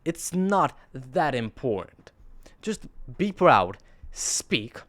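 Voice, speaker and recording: A teenage boy with a surprisingly deep, radio-like voice talks straight to his audience. His delivery is earnest and rambling, full of restarts and the odd sarcastic aside, at an ordinary middle pitch for a man. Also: big pitch swings, drawn-out words, fairly bright tone. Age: teens. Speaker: vlogger. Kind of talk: casual monologue to camera.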